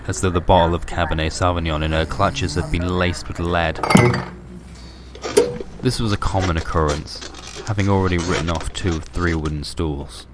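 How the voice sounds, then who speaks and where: A man narrates a scripted horror story as a podcast host, in measured, literary storytelling. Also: deep voice, noisy background. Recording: music bed under the voice. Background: music.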